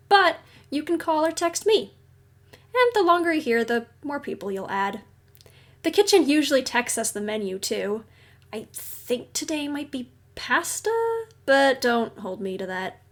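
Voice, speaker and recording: Female actor as kindly facility agent for audio roleplay: high voice, slow delivery, reassuring and explanatory. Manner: reassuring, gently explanatory, conversational. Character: kindly, professional woman agent at a secret psychic facility. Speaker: agency guide briefing a newcomer. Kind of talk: audio roleplay, acted monologue to the listener.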